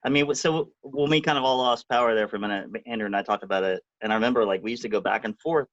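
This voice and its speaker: A man's voice: medium pitch, a bit bright, dry recording, lo-fi sound. A man who worked as the recording engineer, explaining studio technique in casual conversation with lots of fillers. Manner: quick, filler-heavy explaining.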